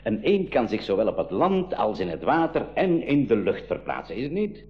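Swooping, low-voiced Flemish inventor uncle. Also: male voice, big pitch swings, moderate pace, soft background music. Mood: proud, teasing. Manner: theatrical, teasing, explanatory questioning. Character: eccentric kindly uncle, a proud secretive inventor. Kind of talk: 1980s educational radio drama acting. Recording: dull 1980s radio drama recording.

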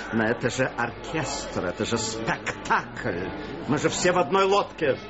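Flustered, slow, placating man. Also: mid-range voice, very animated, muffled recording, loud music behind the voice. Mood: exasperated. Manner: hesitant, appeasing, exasperated. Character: flustered, placating male orchestra musician. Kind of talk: radio play acting.

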